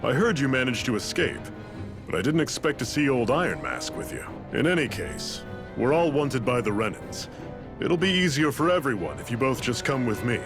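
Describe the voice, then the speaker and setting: An actor playing a calm, measured male rebel leader in a video game. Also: mid-range voice. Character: composed male rebel leader, wry and resolute. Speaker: resistance leader. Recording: game audio with faint music.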